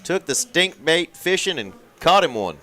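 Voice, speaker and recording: A man's voice: a little high, very animated, moderate pace, sharp tone. Folksy Texan poker commentator, man. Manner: folksy, dry joking play-by-play. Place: commentary booth.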